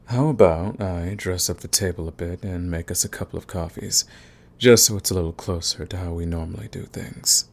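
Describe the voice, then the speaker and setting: A man's voice: deep voice, moderate pace, creaky. A man playing a smug, flirtatious villain who is fond of the enemy, in an intimate ASMR romance roleplay with taunting, drawling menace. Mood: commanding, teasing. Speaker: audio roleplay voice actor.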